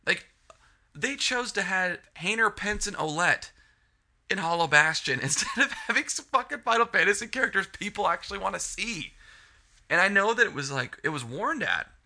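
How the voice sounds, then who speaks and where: A man's voice: high-pitched, lively intonation, moderate pace, gravelly, sharp tone. A man reviewing a video game in a casual, rambling rant, sniffly from a cold and swearing freely. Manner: frustrated, rambling, heavy swearing.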